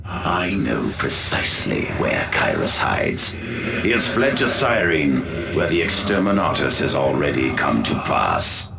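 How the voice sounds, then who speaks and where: A proud, cold chaos lord issues orders slowly and haughtily. His voice is deep and gravelly, rising and falling widely. It rings with echo over a loud score. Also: very animated, noisy background, exaggerated voice. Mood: commanding. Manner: haughty, commanding, measured. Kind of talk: video game cutscene acting. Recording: echoey, with loud orchestral score.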